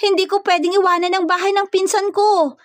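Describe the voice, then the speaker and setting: High-pitched young provincial woman, as a female narrator plays her, naive, whiny, feisty, dramatic exclamations, audio romance story, dry sound. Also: flat delivery, brisk, no echo. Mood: alarmed, questioning.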